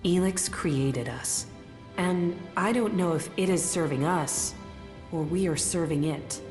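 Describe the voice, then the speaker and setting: An actress playing an earnest, thoughtful mage uneasy about her powers in a video game, speaking in a measured way. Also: on the low side, lightly acted. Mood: troubled. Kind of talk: video game cutscene acting. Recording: echoey reverberant space, music behind.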